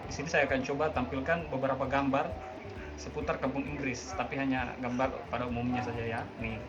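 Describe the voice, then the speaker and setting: A man hosting a casual vlog and online interview, friendly and chatty, often pausing with hesitations. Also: medium pitch, little pitch movement, very noisy. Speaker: host. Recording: dull lo-fi sound with music behind.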